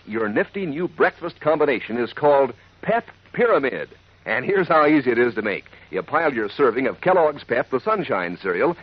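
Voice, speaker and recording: Swooping, husky male radio pitchman. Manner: chummy, enthusiastic, emphatic. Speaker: radio announcer and sponsor pitchman. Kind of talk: 1940s children's radio advertising, folksy. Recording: dull old radio recording.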